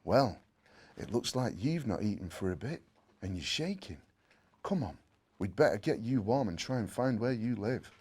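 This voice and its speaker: A man voicing a practical, kindly family dad in a children's story, gentle and down-to-earth. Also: lowish voice, expressive, moderate pace, husky. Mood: caring.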